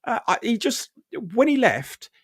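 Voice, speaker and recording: A man hosting a podcast who talks in a lively, jokey way and often stumbles over his words. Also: very high voice, unhurried, no echo, studio quality. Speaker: podcast host. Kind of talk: casual chat.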